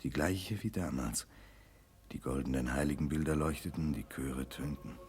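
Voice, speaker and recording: A man's voice: very deep voice, normal speed, darkish tone. An actor playing a remorseful man tormented by memories of lost love, narrating earnestly and lyrically in a 1960s German radio play. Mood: tender, wistful.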